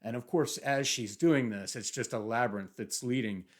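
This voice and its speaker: A man's voice: mid-range voice, moderate pace. A man talking through a novel in a book review video, musing thoughtfully and sometimes reading passages aloud.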